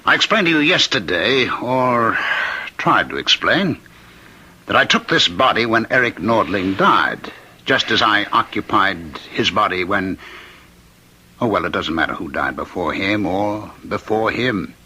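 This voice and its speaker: Raspy, bright-voiced condemned killer. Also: male voice, fairly low pitch, expressive, heavily acted, low-quality recording. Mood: knowing, assured. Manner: articulate, knowing, needling, measured pauses. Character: condemned killer claiming to be Jack the Ripper's spirit, taunting, insistent. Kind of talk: radio drama acting.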